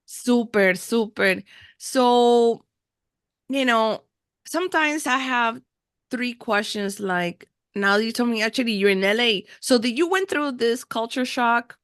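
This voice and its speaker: A woman's voice: a little high, slow pace, fairly bright tone, clean tone, no echo, studio quality. A woman hosting a casual podcast interview, switching between Spanish and English and repeating words effusively. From United States, Miami. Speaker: podcast host. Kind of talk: casual bilingual interview chat.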